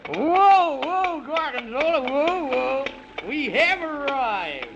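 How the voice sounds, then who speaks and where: High-pitched excitable Man in the Moon. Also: takes it slowly, creaky, heavily acted. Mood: excitable, folksy. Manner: exclamatory, fussy, folksy. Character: excitable fussy Man in the Moon, kindly guide. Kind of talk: old-time radio children's serial acting. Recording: muffled, noisy old radio broadcast recording.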